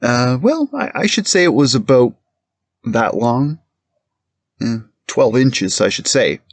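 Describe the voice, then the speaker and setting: A man's voice: mid-range voice, sing-song, slow, dry recording, full character voice. A man playing a precise, deferential police captain giving hesitant, formal testimony in a courtroom reenactment. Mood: careful, formal. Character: careful, plain-spoken male police captain testifying as a witness. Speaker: witness.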